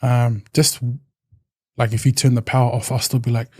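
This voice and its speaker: Samoan-New man, mellow and reflective. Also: medium pitch, little pitch movement, moderate pace, husky, no room sound. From New Zealand. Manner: reflective, with many restarts and fillers. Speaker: podcast guest. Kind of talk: casual interview talk.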